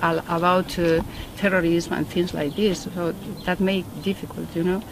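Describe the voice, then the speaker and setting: A middle-aged native Spanish speaker, a woman with a Spanish accent, speaking halting English in a casual group interview and searching for words. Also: fairly low pitch, little pitch movement, background noise. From Spain.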